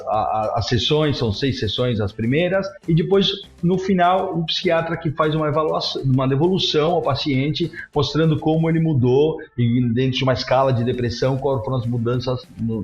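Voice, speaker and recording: A man's voice: mid-range voice, darkish tone. A man from São Paulo, answering interview questions animatedly with frequent restarts and checking tags. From São Paulo, Brazil. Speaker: guest, psychedelic clinic CEO. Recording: roomy, dull remote audio.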